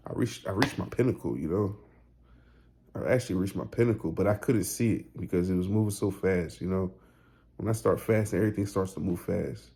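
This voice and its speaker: Laid-back man with a low mellow voice. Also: brisk, creaky, breathy edge. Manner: loose, repetitive, slangy talk with filler tags like "you know". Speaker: weight loss vlogger. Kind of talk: casual motivational vlog.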